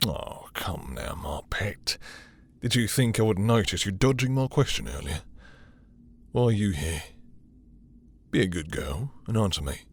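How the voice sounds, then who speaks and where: A man playing a possessive, dominant vampire lord in intimate roleplay audio, with a husky, breathy voice and a teasing, seductive, condescending manner. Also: sits low, expressive, unhurried, long pauses, full character voice. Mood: coaxing, pressing. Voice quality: husky, breathy, dropping into whispers.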